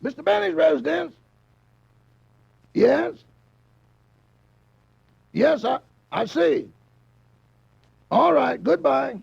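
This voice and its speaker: A gravelly, drawling wisecracking valet. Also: male voice, extremely high pitch, animated, extremely slow, long pauses, exaggerated voice. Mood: sly. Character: wisecracking male valet, dry and sly. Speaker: butler character. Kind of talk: 1950s radio comedy acting. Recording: dull lo-fi old radio recording.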